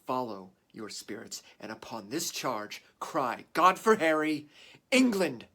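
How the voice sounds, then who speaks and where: An actor playing a warrior English king rousing his troops in a declamatory, deliberate Shakespearean stage monologue. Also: fairly low pitch, full character voice. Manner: declamatory, deliberate, swinging from hushed to shouted.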